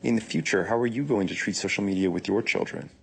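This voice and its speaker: A man with a gravelly voice reading out scripted questions for an audiobook. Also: on the low side, animated, quick pace, fairly bright tone, rough recording. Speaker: audiobook reader of listener questions.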